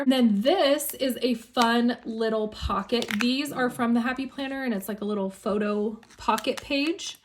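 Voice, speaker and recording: A woman with a slightly hoarse voice chatting enthusiastically as she shows her planner on camera, laughing now and then. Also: fairly high pitch, slight background noise. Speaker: planner YouTuber. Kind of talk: casual planner flip-through.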